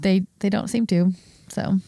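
A woman co-hosting a podcast, hoarse from a cold, talking with passion and strong opinions. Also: mid-range voice, steady tone, unhurried, mellow, no room sound, clean studio sound. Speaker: podcast co-host. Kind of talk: casual chat.

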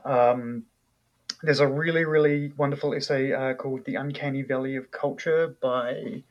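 A man's voice: medium pitch, fairly even intonation, moderate pace, highs cut off. A man from New Zealand with a New Zealand accent, an author talking casually in an interview, thoughtful and full of fillers and restarts.

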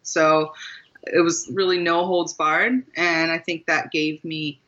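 A woman's voice: low voice, flat delivery, slow, a bit bright, lo-fi sound. A woman from Maryland, a guest author, talking thoughtfully and earnestly about her path to writing in an interview, with small laughs and restarts. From Maryland, USA. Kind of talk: reflective interview conversation.

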